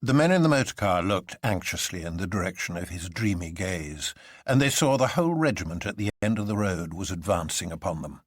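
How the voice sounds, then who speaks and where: Male narrator for dramatic fiction: lowish pitch, lively intonation, close dry sound. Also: brisk, no room sound. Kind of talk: scripted dramatic story narration.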